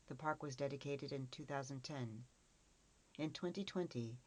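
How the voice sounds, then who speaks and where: A woman's voice: low-pitched, low-quality recording. A woman reading a scripted encyclopedia article as a narrator.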